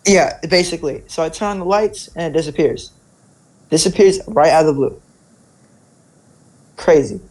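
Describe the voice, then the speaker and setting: A man's voice: fairly high pitch, moderate pace, long pauses, darkish tone, rough recording. A young man casually telling stories in informal speech, often checking in with "right?" and "like". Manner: informal, peppers talk with "right?" and "like". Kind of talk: casual spoken storytelling.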